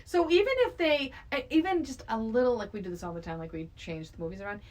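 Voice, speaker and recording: A woman's voice: on the high side, quick pace, a little mellow. A middle-aged woman chatting casually and frankly on a podcast, conversational and opinionated. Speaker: podcast co-host. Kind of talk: casual film discussion.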